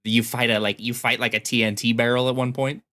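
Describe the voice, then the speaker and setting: A man's voice: medium pitch, flat delivery, a little fast, bright, forceful tone. A man co-hosting a podcast, talking in a casual, conversational style. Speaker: podcast co-host. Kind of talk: casual chat about video games.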